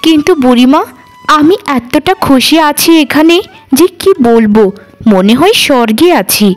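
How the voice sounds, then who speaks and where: A woman voicing a kind, humble young village wife in a cartoon, gentle and apologetic. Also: high-pitched, expressive, unhurried, soft background music. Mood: gentle, concerned. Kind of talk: Bengali cartoon dialogue.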